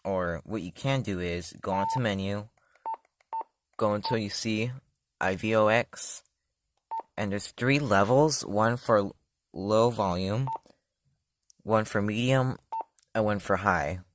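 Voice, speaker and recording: Guy with a really low voice showing off a gadget, lots of ums and self-corrections, noisy background. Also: takes it slowly, long pauses. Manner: casual, loose, many fillers and self-corrections. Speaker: product reviewer. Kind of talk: casual hands-on demo.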